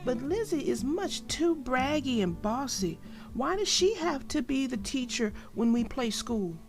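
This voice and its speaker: A woman's voice: high-pitched, very animated, a little slow, music in the background, voice pushed up, heavily acted. A woman voicing a bossy, indignant young girl bear cub in a children's storybook. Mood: grumpy. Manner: loud, indignant, shouting. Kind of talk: children's storybook read-aloud.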